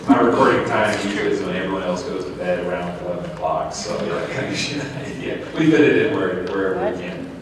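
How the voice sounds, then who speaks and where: A man's voice: low-pitched, a little fast, few pauses. A man chatting casually as an audio drama crew member answering questions on a convention panel. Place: large indoor convention room. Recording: echoey hall, distant mic, crowd behind.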